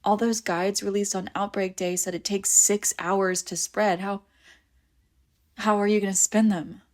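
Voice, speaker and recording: A woman's voice: mid-range voice, little pitch movement, unhurried, close to an everyday voice. A woman playing a clingy, devoted young female friend in a zombie apocalypse audio roleplay, with an emotional, intimate delivery. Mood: desperate pleading. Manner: emotional, pleading, swinging between insistent and hushed. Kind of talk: scripted audio roleplay acting, intimate and conversational.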